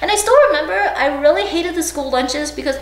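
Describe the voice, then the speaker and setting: A woman's voice: high voice, a little fast. A young woman from China and the United States casually recounting personal memories in an informal, hedging way as an interviewee. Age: young adult. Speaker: oral history interviewee. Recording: roomy, distant mic, faint background noise.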